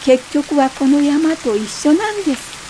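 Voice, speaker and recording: A woman's voice: sits high, animated, measured pace, background noise. An actress playing a frank married woman fed up with her husband, in conversational, wry radio drama dialogue. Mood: reflective. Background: rain.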